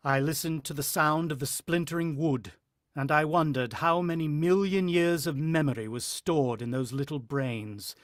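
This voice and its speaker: Radio drama lead: man to play a desperate husband narrating a siege, slightly high-pitched, grave and intense delivery. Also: moderate pace, dry recording, clean studio sound, lightly acted. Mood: grim, haunted. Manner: grave, clipped, intense storytelling.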